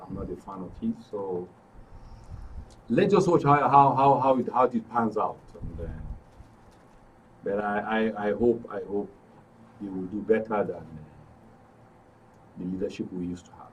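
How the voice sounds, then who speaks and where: A man's voice: fairly low pitch, normal speed, pauses often. Nigerian man discussing politics, slightly distant. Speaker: studio guest commentator. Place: radio and TV studio. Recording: distant mic, faint background noise.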